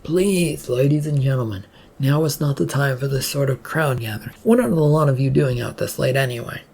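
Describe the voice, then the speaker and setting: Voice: mid-range voice, brisk, creaky, darkish tone, breathy edge. A narrator voicing a bossy, exasperated male state trooper who gives orders in a storybook reading. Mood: loud, exasperated. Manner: commanding, announcing to crowds.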